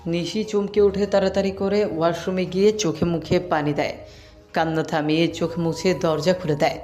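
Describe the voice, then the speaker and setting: Storyteller for a scripted audio romance, mid-pitched narration over background music. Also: steady tone, moderate pace, darkish tone.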